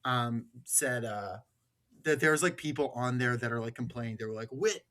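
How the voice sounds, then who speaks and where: Bright-voiced irreverent man hosting a podcast. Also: medium pitch, moderate pace. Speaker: podcast host. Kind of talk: casual podcast chat.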